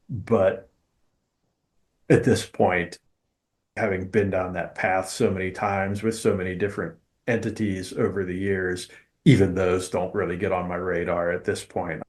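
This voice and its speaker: A man talking casually as a podcast host, giving his opinions in an emphatic, deliberate way. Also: sits low, little pitch movement.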